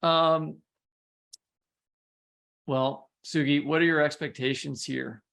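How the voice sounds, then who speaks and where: A man's voice: a little high, steady tone, slow, vocal fry, fairly bright tone. A man co-hosting a podcast interview in an informal, encouraging way, often circling toward his point. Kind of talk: casual interview chat.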